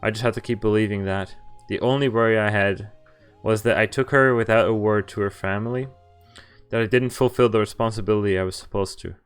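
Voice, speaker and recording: A man's voice: on the low side, fairly even intonation, a little fast, clean tone, soft background music. A man streaming a visual novel, reading it aloud with casual commentary and some stumbles, amused asides mixed in. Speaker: game streamer.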